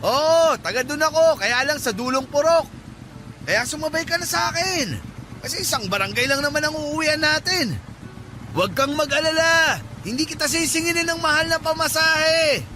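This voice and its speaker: An actor playing a deceitful, menacing Filipino tricycle driver in a radio drama. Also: male voice, extremely high pitch, normal speed, pushed and bright, slight background noise. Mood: coaxing. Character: menacing male tricycle driver, deceitful knife-wielding abductor.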